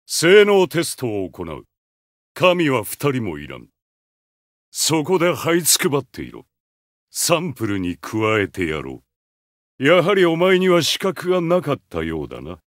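A man's voice: medium pitch, fairly bright tone, dry recording, studio quality. A man voicing a haughty, ruthless video game supervillain with a god complex, contemptuous, menacing and commanding. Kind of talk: Japanese fighting game voice acting.

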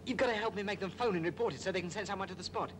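A man's voice: high-pitched, very fast, natural voice. An actor playing a stubborn, insistent, hot-headed working man in an old British film. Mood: urgent pleading. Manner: insistent, combative. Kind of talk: 1940s British film acting. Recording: very noisy old film soundtrack.

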